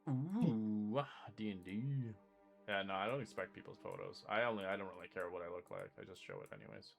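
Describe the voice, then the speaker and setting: A man's voice: lowish voice, fairly quick, creaky, faint music behind. A man chatting casually before a tabletop game, rambling and restarting his sentences, laughing easily.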